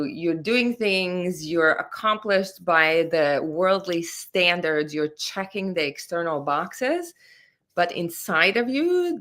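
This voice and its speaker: A woman from Latvia, a career mentor, giving earnest, measured answers with a spiritual bent in an interview. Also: low-pitched, a bit bright.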